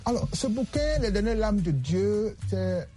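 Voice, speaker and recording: A man from the Ivory Coast, a guest author and teacher, answering interview questions with many pauses and fillers. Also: sits high, animated, mellow.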